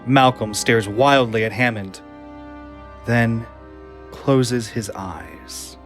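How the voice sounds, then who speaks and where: A man's voice: mid-range voice, very slow, soft background music. A man reading scripted scene narration for an audio drama.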